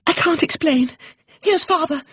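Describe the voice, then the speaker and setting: Man doing young vicar's daughter, English, secretive, breathless, hushed, high-pitched, radio storybook. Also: measured pace, no room sound, dull audio. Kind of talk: dramatised audiobook reading.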